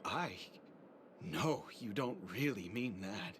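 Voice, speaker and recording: A man's voice: on the low side, animated, slow pace, background noise. A man playing an exasperated guard in a video game, pleading in a theatrical, mock-humble way. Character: exasperated, pleading male guard with a dramatic streak.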